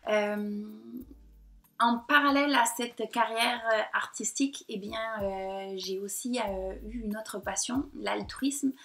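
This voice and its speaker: A woman in her thirties from the south of France, her accent blended with Québécois, talking straight to her computer in a personal vlog. She speaks hesitantly, with frequent pauses and fillers. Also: mid-range voice, creaky, a bit bright. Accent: southern French mixed with Québécois. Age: 30s. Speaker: painter and blogger. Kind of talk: personal confiding vlog to camera. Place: at home in front of her computer. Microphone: computer or laptop mic.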